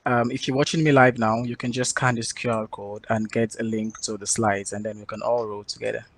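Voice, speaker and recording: A man giving a prepared talk at an online developer conference, speaking in a steady, formal way. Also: fairly low pitch, brisk.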